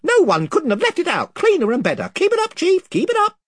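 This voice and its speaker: A man's voice: very high voice, huge pitch swings, a little fast, no echo, studio quality, pitched above the performer's own voice, full character voice. A man reading an audiobook, voicing a crowd of eager, cheerful creatures who agree with everything. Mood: fawning. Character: chorus of fawning yes-man creatures.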